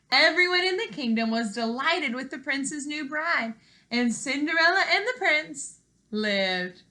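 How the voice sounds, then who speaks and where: A woman in her thirties, an elementary teacher, speaking to young children in an animated, encouraging read-aloud style at home. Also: a little high. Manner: animated, encouraging, simple questions addressed to children. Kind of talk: expressive children's read-aloud and lesson talk to young pupils. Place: living room at home.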